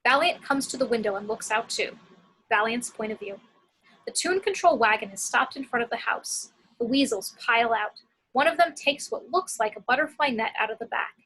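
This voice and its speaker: Lady reading stage directions from a script, highish bright voice, bit raspy. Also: animated, normal speed, poor audio. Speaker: narrator reading action descriptions. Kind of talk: scripted narration of screenplay action.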